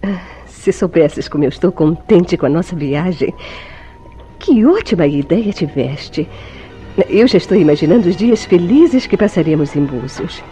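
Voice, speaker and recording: An actress playing a secretive young wife in an old-fashioned Brazilian radio soap, with emotive, formal diction and a Brazilian accent. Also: female voice, lowish voice, lively intonation, moderate pace, dark tone, natural voice. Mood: tender. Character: secretive young wife, tender, anxious, sweetly deceitful.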